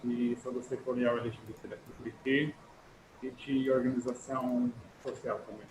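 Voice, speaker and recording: A man's voice: mid-range voice, level tone, normal speed, a little mellow, a little hiss or hum. A man with a São Paulo accent briefly introducing himself as a guest on a video call. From Diadema, São Paulo, Brazil. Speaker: guest, activist. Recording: roomy video call, voices behind.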